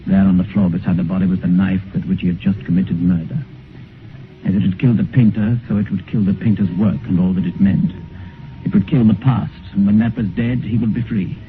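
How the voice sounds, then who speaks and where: A man as the grave storyteller of a Gothic tale, narrating solemnly and deliberately in 1950s radio style. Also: sits low, steady tone, natural voice. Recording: noisy, muffled 1950s radio recording.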